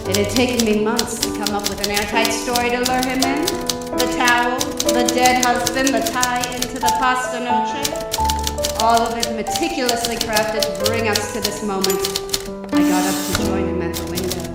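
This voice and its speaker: Woman playing high-voiced scheming noir femme fatale, echoey stage. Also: level tone, moderate pace, lightly acted. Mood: sly scorn. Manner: theatrical, arch delivery. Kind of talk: live noir radio drama parody. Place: on stage in a theater. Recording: echoey theater, distant mic, loud music.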